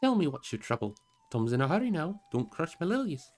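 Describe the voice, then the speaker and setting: A man performs a hearty, carefree old man of the forest in a fantasy storybook reading. The voice rides high and bounces along in sing-song rhymes and exclamations. Faint music plays behind it. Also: big pitch swings, fairly quick, a little mellow. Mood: hearty, bustling. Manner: bouncy, rhyming, exclamatory delivery. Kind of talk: fantasy audiobook character voice.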